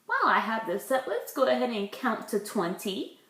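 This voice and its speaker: A woman's voice: fairly high pitch, very animated, normal speed, fairly bright tone. A woman, a preschool teacher leading circle time and reading a picture book, asking simple questions and explaining words for small children. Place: indoors in a room at home. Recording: roomy, echoey home recording.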